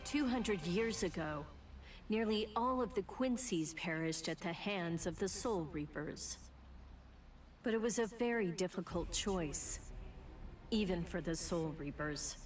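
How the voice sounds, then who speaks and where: A woman playing a stern, composed young female soul reaper in an English anime game dub, speaking in a measured, serious way. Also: on the low side, distant mic, slight background noise, natural voice. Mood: grave. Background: loud game music.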